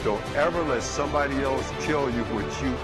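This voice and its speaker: A man giving a forceful motivational speech, building rhythmic lists. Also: mid-range voice, brisk. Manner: forceful, rhythmic list-making. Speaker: motivational speaker. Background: music.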